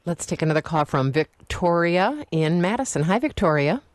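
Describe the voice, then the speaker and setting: A man hosting a radio call-in show, with a hesitant, halting way of putting questions, close on a studio microphone. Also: on the high side, very animated, no echo.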